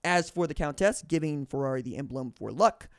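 A man's voice: fairly high pitch, animated, brisk, clean tone, dry recording. Dry-voiced male podcast host reading aloud. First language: English. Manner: stumbles and corrects himself mid-sentence. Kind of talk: reading a book aloud with personal commentary.

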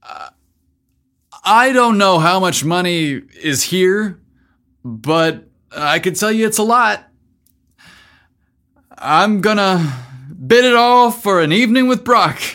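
A man's voice: high voice, lively intonation, takes it slowly, long pauses, a bit bright, pitched above the performer's own voice, exaggerated voice. Man playing shy, hesitant young nerd. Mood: nervous resolve. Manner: hesitant, self-deprecating. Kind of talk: audio storybook character voice.

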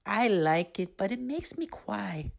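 A sleepy little mermaid girl acted out by a storyteller, high-voiced and plaintive. She sounds childlike and tearful, talking slowly as in a bedtime storybook. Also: sing-song, vocal fry, heavily acted. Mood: sad, sleepy.

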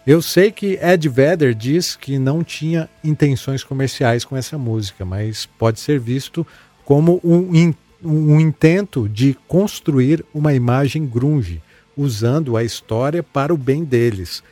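A man hosting a casual podcast. He chats in a relaxed way and reads listener messages aloud, with many fillers and repeated words. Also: medium pitch, unhurried, no echo, soft background music. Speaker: podcast host.

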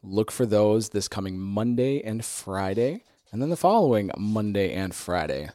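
Low-voiced man, upbeat and casual. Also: lively intonation, normal speed, mellow, no room sound. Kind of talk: casual podcast chat.

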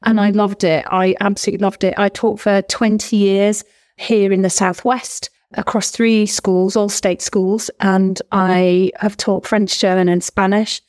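A woman's voice: medium pitch, flat delivery, measured pace, darkish tone. A woman from England in her forties, a former language teacher, chatting enthusiastically as an interview guest and laughing often. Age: 40s. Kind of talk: casual interview chat.

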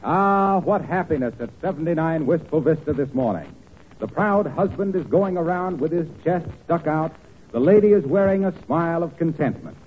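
A man's voice: on the high side, animated, moderate pace, a little hiss or hum. A man announcing in polished 1940s radio style, with folksy, courteous scripted salesmanship. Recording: tinny 1940s radio recording.

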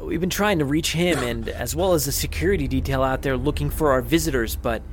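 Male assistant, played by an actor, dutiful reporting tone, average register, dramatised over soft music. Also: mid-range voice, a little fast, lightly acted. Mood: apologetic. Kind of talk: audio drama acting.

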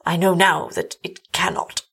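Casting: anguished older man of a haunted village, played by a woman, formal, melodramatic, slightly husky. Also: sits high, measured pace, sharp tone, heavily acted. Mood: faint, hopeless. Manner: formal, melodramatic, weary speech. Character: anguished, despairing older gentleman, village husband haunted by horror.

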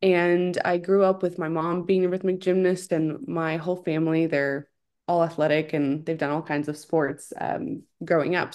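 A woman's voice: on the low side, a little mellow. A young woman from Ukraine, a former professional dancer, chatting as a guest and telling her story in a friendly way. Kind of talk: casual interview.

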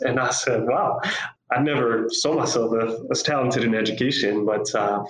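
This Costa Rican man in his thirties talks in a fairly low voice, and his accent has a slight South African tinge. He reflects slowly, with fillers and restarts. The room is echoey. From Costa Rica. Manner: thoughtful, hesitant, many fillers and restarts. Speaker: guest. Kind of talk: reflective personal storytelling.

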